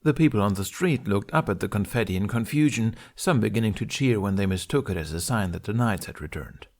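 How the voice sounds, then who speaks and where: A man's voice: fairly low pitch, animated, fairly quick. A man reading scripted narration of an adventure story as a podfic narrator.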